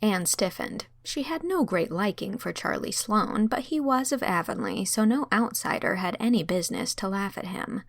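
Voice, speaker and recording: A woman's voice: medium pitch, animated, moderate pace, few pauses, no room sound. A woman reading scripted narration for a dramatized classic novel. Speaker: audiobook narrator.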